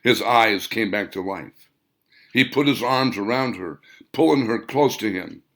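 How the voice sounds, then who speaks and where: A man's voice: lowish voice, unhurried, a bit bright. A man reading his own novel aloud as scripted audiobook narration, with dramatic, emphatic phrasing.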